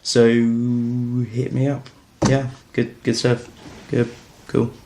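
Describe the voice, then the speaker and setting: Man, southern English accent, medium pitch, casual chat, slight background noise. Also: completely flat delivery, very slow. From Canterbury, Kent, England. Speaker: podcaster. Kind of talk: casual self-introduction.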